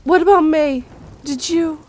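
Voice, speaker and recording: Woman playing an anxious, very high-voiced young woman. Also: unhurried, a little mellow, slight background noise. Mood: worried. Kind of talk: comic book fan dub acting.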